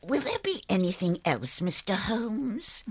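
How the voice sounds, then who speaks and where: An actress in an old-time radio drama, playing the women of a detective mystery, a housekeeper and a widow. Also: female voice, medium pitch, sing-song, a little slow, slightly rough, no room sound, lo-fi sound. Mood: dutiful. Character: dutiful housekeeper, and a solemn newly widowed woman.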